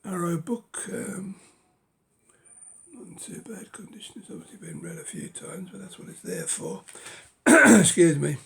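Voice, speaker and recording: A middle-aged man with a cold, speaking hoarsely and haltingly in a casual show-and-tell of his books, often dropping to a whisper. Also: sits high, expressive, a bit bright. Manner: halting, many ums and uhs, drops to a whisper. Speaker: book collector vlogger.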